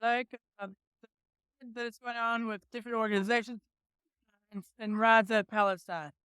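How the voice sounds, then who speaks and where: Bright, crisp, dry-sounding protester; emphatic, halting answers. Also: medium pitch, fairly even intonation, no echo. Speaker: rally attendee. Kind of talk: street interview. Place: outdoor street rally.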